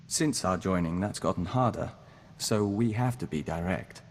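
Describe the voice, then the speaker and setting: Game companion: composed hive-joined diplomat man, low register, formal and earnest, heavy reverberation. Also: normal speed. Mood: measured, direct. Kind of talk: scripted video game companion dialogue. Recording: long reverb, audible background noise.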